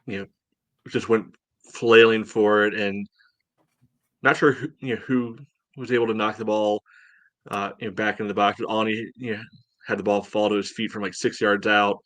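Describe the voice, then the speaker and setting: A man co-hosting a soccer podcast, breaking down a match in casual, halting talk. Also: on the low side, little pitch movement, fairly bright tone, dry recording. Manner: halting, peppers talk with "you know". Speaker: soccer podcast co-host and analyst.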